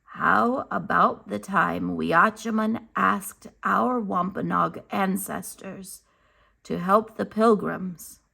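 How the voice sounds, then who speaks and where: A woman voicing a calm, wise older storyteller in a storybook, gently and patiently explaining. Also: mid-range voice, takes it slowly, gravelly, full character voice.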